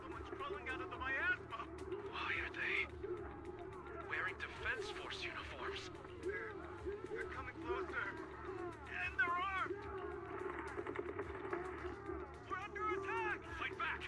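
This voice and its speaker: An actor voicing an alarmed young soldier in a game, giving urgent battlefield callouts. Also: male voice, very high-pitched, animated, unhurried, pauses often. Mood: panicked. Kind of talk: dramatic game voice acting. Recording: heavy battle and radio noise.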